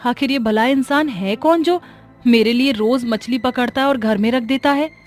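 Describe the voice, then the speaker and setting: Lady playing poor village mom selling fish, highish earnest voice, music behind. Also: moderate pace, darkish tone, close to an everyday voice. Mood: puzzled. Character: puzzled, hard-up village mother selling fish.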